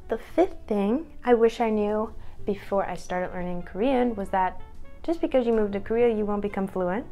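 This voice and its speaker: A woman's voice: on the high side, vocal fry, a little mellow, dull audio. A young woman in her twenties talking casually to the camera at home, chatty and upbeat, laughing at herself now and then. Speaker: vlogger.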